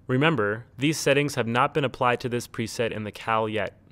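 A man reading a scripted instructional tutorial in a measured, neutral, step-by-step way. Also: mid-range voice, fairly bright tone, no room sound. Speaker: tutorial narrator. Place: close, dry studio-style voice-over recording.

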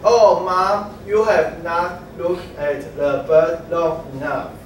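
A young man playing an eager little frog child, reading his lines slowly in a readers' theatre. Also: fairly high pitch, fairly even intonation, a little hiss or hum. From Taiwan. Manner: slow, deliberate reading from a script. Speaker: student reader. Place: large classroom, standing in a row. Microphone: far camera. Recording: very echoey classroom from far off.

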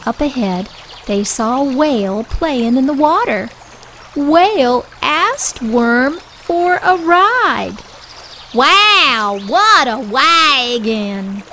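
A woman reading a picture book aloud for young children in a deliberate, expressive storytelling style. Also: sits high, slight background noise.

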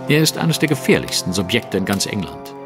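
A self-assured Victorian master detective in a radio play. His delivery is lively and precise, with great authority. Long reverb surrounds the voice and music plays behind it. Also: lowish voice, fairly quick. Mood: grave. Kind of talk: German audio drama acting.

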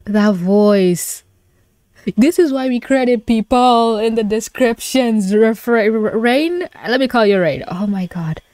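A young adult woman doing casual live reaction commentary as a streamer, expressive and given to exclamations and swearing. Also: fairly high pitch, slow, dry recording.

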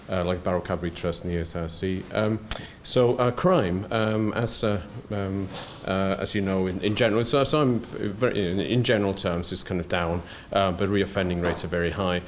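A middle-aged soft-spoken man giving a conference lecture at a podium, hesitant with many fillers and restarts and dry asides. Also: low-pitched, a little hiss or hum. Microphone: podium microphone.

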